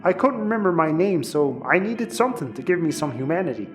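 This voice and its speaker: An actor playing a frightened, grateful, eager cult follower in an audio comedy, earnest and pleading. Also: male voice, fairly high pitch, sing-song, quick pace, soft background music. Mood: earnest recounting. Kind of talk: audio comedy drama acting.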